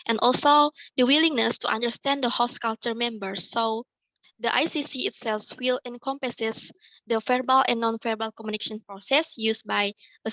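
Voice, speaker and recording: A woman's voice: a little high, pushed and bright, no echo, dull audio. Young woman presenting research. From Indonesia. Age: young adult. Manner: hesitant, repeats and restarts words. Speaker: student presenter. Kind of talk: academic presentation. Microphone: video call.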